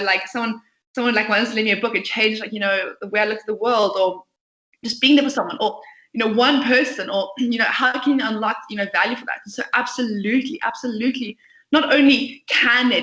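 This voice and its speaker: A woman's voice: on the high side, fairly quick, pushed and bright. A young woman, a company founder, talking passionately and at length as a podcast guest. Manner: passionate, chatty, outspoken. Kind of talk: podcast interview. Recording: echoey room.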